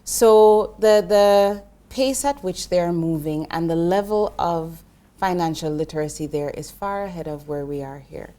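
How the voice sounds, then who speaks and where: Jamaican-born woman explaining investing, mellow voice. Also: fairly low pitch, measured pace, few pauses. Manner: earnest, explanatory, with frequent restarts. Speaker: investment professional guest. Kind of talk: interview conversation.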